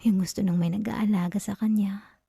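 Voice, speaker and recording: A woman playing a teasing, possessive young yandere girlfriend in an intimate ASMR roleplay, soft and breathy with a Filipino accent, coaxing and often slipping into whispers. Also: medium pitch, fairly even intonation, no echo, studio quality. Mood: tender, teasing. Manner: slow, coaxing, giggly teasing. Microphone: close, dry ASMR microphone.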